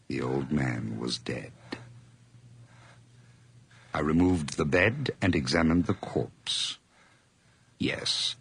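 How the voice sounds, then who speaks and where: A gravelly, feverish killer. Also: male voice, very deep voice, a bit bright, exaggerated voice. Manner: intense, feverish, swinging from whispers to outbursts. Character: nervous, obsessive man confessing a murder. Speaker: narrator. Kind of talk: radio drama narration.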